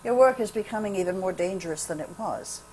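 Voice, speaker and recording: Woman playing an anxious, animated Frenchman, slightly noisy line. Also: medium pitch, a little fast, close to an everyday voice. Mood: worried, earnest. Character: anxious, loyal young Frenchman, friend of the hero. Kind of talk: table read of a radio drama. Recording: faint noisy home virtual-call recording.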